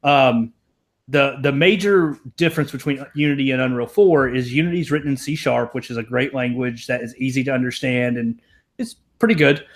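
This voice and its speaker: A man's voice: medium pitch, fairly quick. A young man from Tennessee with a Southern accent, a programmer talking as a podcast guest in casual, rambling, self-deprecating chat with casual swearing. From American South, Tennessee.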